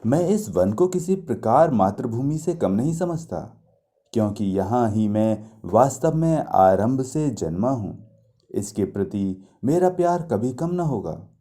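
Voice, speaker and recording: A man plays a grateful young male student of myth in a storybook telling. He declaims with reverence and devotion, his mellow voice rising and falling freely at a slow pace. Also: medium pitch, very animated. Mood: reverent.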